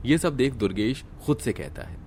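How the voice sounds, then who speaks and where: A man reading scripted narration for an animated story. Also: medium pitch, moderate pace, slight background noise.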